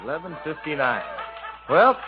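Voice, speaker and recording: A high-voiced, lively radio innkeeper. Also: male voice, moderate pace, over music. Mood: genial, wary. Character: easygoing male inn owner and singing entertainer. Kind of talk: 1950s radio musical acting and singing. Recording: dull 1950s radio broadcast with orchestra.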